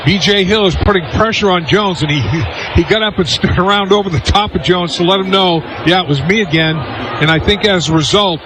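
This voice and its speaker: A man's voice: high voice, fairly quick, background noise. A man giving conversational, explanatory football analysis as a radio color commentator.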